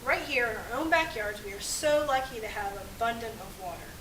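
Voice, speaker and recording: A woman presents to children in an emphatic, phrase-by-phrase manner. Her voice is fairly high, recorded at a distance in an echoey space with noticeable hiss. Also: lively intonation, a little fast. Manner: emphatic, addressing an audience in short phrases. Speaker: puppet show presenter. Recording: echoey, distant mic, clearly hissy.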